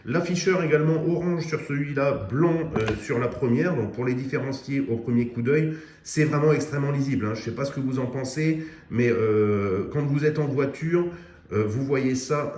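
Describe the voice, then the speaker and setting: A man's voice: mid-range voice, darkish tone. Man casually demoing a CB radio. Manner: chatty, many fillers, addresses viewers directly. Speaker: radio equipment reviewer. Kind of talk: casual product demo. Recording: echoey room, faint noise.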